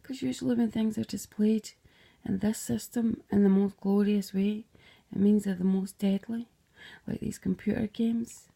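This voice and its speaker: Scottish woman, gravelly low-key voice, rambling and sweary, drops to whispers. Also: fairly even intonation, normal speed, hardly any pauses, dark tone. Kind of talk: casual dream retelling to camera.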